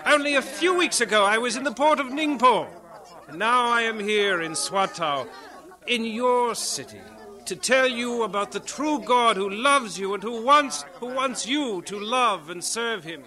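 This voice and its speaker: An actor playing an earnest, halting young English missionary in an audio drama, lovestruck and fervent. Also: male voice, extremely high pitch, expressive, sharp tone, exaggerated voice. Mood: preaching. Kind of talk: dramatised radio-play acting.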